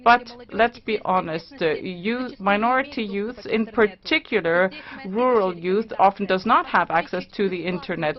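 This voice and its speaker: A woman interprets a formal speech into English as it is given. She speaks at a middle pitch and keeps a measured pace, with a few fillers and restarts. The sound is dull and lo-fi. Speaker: simultaneous interpreter. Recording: dull lo-fi conference recording.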